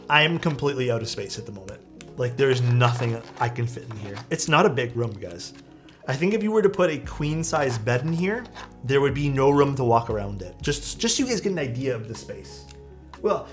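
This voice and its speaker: A young man talks easily through a keyboard-building livestream, rambling and answering his chat at a middling pitch. Soft music plays faintly behind him, and his voice comes through a streaming mic in a small room. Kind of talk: casual livestream chat with viewers. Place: small rented room indoors.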